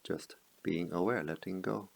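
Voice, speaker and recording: A man guiding a meditation as a teacher, speaking calmly in measured short phrases with long pauses. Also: sits low, creaky. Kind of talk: guided meditation.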